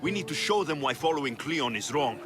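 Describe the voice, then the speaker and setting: A man plays a young mercenary hero in an ancient Greek game epic. His voice sits a little high and is very animated. He is blunt and earnest, resolute in every line. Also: brisk, music in the background, close to an everyday voice. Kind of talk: cinematic video game acting.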